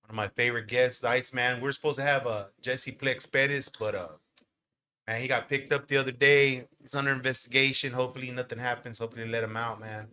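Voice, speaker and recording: Bright-voiced, slangy Texan man hosting. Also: mid-range voice, fairly even intonation, fast talker. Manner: loose, slangy banter with lots of cursing. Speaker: podcast host. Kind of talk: casual podcast chat.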